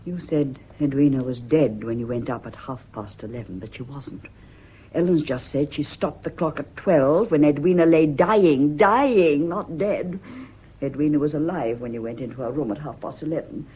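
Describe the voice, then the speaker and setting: Husky, scornful British mistress. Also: female voice, deep voice, normal speed, highs cut off. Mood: accusing. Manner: dramatic, biting, emphatic. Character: proud, scornful, accusing mistress, betrayed and intense. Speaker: radio drama actor. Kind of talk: melodramatic radio play acting.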